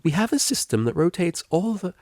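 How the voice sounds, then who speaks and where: Man putting on a high, chirpy unicorn receptionist lady. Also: big pitch swings, a little fast, dry recording, clean studio sound. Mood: eager. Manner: gushing, overly polite. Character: over-eager, chirpy female unicorn receptionist.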